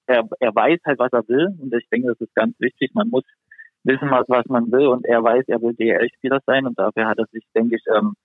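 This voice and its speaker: A male hockey player, a podcast guest, answering in a halting way with many fillers over a remote call. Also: mid-range voice, no room sound. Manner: halting, lots of fillers and restarts. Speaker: ice hockey defenceman guest. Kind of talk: casual podcast interview. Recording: tinny phone-line sound, dry.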